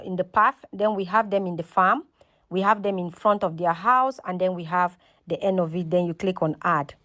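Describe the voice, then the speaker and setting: A woman hosting a casual step-by-step tutorial, talking instructionally and often checking in with "okay" and "right". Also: mid-range voice. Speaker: tutorial host.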